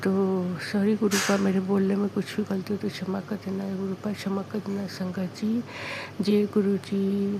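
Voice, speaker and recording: A woman with a sore, hoarse throat giving an earnest devotional testimony, repeating thanks in halting phrases. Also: mid-range voice, completely flat delivery, background noise.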